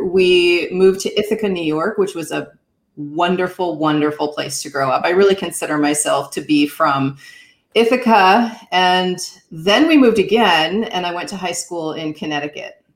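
A woman in her thirties from the northeastern United States, telling her story as an interview guest, animated and laughing often. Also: fairly low pitch, moderate pace. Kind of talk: conversational interview. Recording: echoey room.

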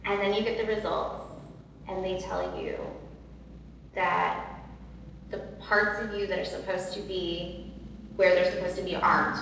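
Loud, earnest woman in echoey hall. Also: lowish voice, fairly even intonation, measured pace, long pauses. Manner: earnest, emotional, many fillers and repeats. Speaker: podcast guest. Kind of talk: personal storytelling in an interview. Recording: echoey hall from far off, faint noise.

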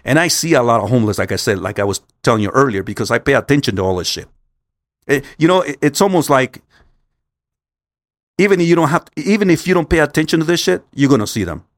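A man's voice: mid-range voice, expressive, fast, no echo, studio quality. A man, a Spanish speaker, telling a casual story to viewers in a rambling, repetitive, stop-start way peppered with swearing. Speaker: vlogger.

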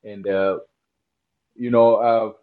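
A man from Kenya with a Kenyan accent, talking as an interview guest, speaking haltingly with many restarts and easy laughter. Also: fairly low pitch, flat delivery, phone-quality sound. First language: not English. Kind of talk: interview conversation.

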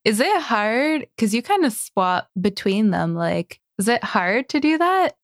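A woman's voice: a little high, very animated, a little slow, no echo. Chatty Canadian woman host, dry sound. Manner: chatty, laughs often, many "right"s. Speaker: podcast host. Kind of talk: casual chat.

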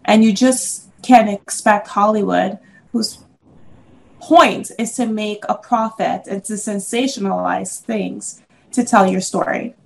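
A middle-aged woman from the Congo, an interview guest and business owner, telling stories in a lively way and laughing often. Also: medium pitch, slow, creaky, low-quality recording. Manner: animated storyteller, laughs often. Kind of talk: casual interview conversation.

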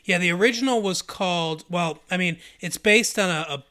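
Man with a higher, bright voice. Easygoing podcast co-host who agrees readily and hunts for words. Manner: agreeable, halting, full of fillers. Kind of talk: casual movie chat.

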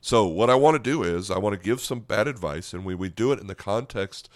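An American man hosting a conversational sermon podcast as a pastor, speaking earnestly and directly to listeners with a slightly hoarse voice. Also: fairly low pitch, expressive, fairly quick, no echo, studio quality.

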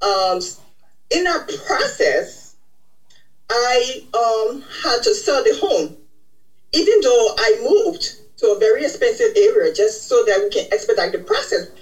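Earnest reflective woman with a lively voice. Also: on the high side, measured pace, poor audio. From Liberia. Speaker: podcast guest. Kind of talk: personal story in interview.

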